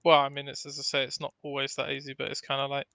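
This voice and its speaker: A man's voice: medium pitch, completely flat delivery, fast talker, creaky, pushed and bright, dry recording. A man co-hosting a podcast in casual chat, talking in an informal, rambling, anecdotal way. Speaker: podcast co-host.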